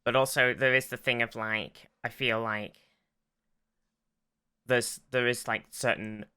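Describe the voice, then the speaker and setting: Very bright, crisp-voiced man chatting on a podcast. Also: medium pitch, little pitch movement, measured pace. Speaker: podcast co-host. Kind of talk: casual pop-culture discussion.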